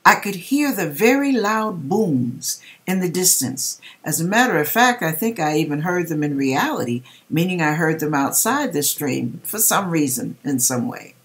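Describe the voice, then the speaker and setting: A middle-aged woman reading aloud and commenting in a deliberate, dramatic way with pauses, recorded in a home kitchen. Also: sits low, sing-song. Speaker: vlogger reading a viewer's dream and commenting. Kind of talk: expressive read-aloud with religious commentary.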